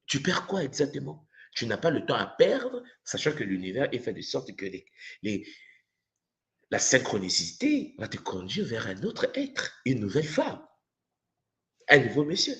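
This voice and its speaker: Man, middle-aged, from Congo, spiritual teacher, animated pitch, loud bursts then quiet murmurs. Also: unhurried. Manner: expressive, swings between loud emphatic bursts and low murmurs.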